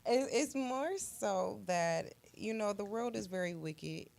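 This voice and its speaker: A woman speaks casually at a middle pitch as a regular on a radio show. Her delivery is informal and a little wry. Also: animated, takes it slowly, vocal fry, over a noisy crowd. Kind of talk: casual chat.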